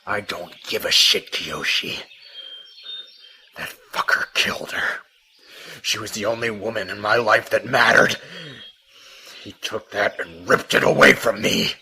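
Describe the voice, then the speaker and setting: A man's voice: on the low side, a little slow, gravelly, pushed and bright, heavily acted. A man playing a grieving, vengeful police detective with an intense, determined manner in an amateur radio drama. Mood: angry grief. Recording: faint background noise.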